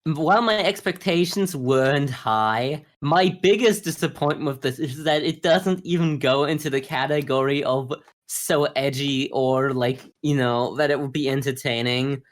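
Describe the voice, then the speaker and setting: A man co-hosting a podcast, giving a casual review in a measured, analytical, conversational way. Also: mid-range voice. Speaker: podcast co-host. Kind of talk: casual anime review discussion.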